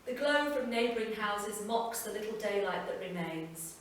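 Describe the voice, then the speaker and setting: A woman reading a literary short story aloud at a live event, measured and unhurried. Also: medium pitch, animated, slight background noise. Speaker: reader. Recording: echoey room, voice from far off.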